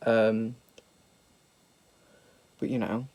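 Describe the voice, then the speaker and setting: A man's voice: on the low side, little pitch movement, very slow, lo-fi sound, very noisy. A young British man with a slightly nasal voice from a sniffle, chatting casually and self-mockingly through a makeup tutorial. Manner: sweary, camp and self-mocking. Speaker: makeup vlogger.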